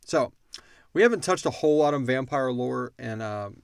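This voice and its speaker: A man's voice: mid-range voice, animated, normal speed, gravelly, clear, resonant tone, no echo, clean studio sound. A man co-hosting a casual question-and-answer podcast about an audio drama, informal and slangy as he thinks aloud.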